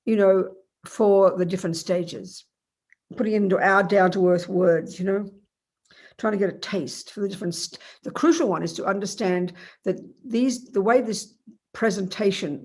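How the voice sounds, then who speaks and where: Croaky woman w a cold teaching meditation on a video call, rambly, keeps restarting her sentences. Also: medium pitch, a little mellow. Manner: rambling, self-correcting explanation. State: has a cold, a bit croaky.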